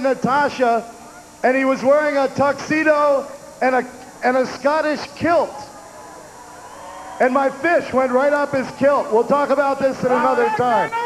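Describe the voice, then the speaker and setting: High-voiced older man joking from a festival stage. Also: fairly even intonation, moderate pace, slight background noise. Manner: shouted crowd chants, goofy puns. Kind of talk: joking stage banter and crowd chants. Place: outdoor festival stage before a huge crowd. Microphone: handheld mic. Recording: echoey outdoor PA with loud crowd.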